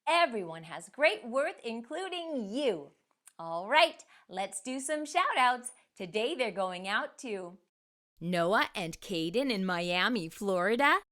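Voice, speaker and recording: A woman's voice: fairly high pitch, big pitch swings, slow pace, fairly bright tone. A woman hosting a children's read-aloud, narrating a storybook expressively with careful delivery.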